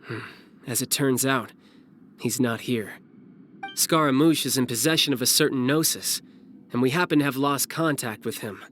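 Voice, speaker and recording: A man playing a breezy, cunning young fighter in a video game, smooth-talking and nonchalant. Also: mid-range voice, moderate pace, a bit bright, slight background noise, lightly acted. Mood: calculating. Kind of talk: video game cutscene voice acting.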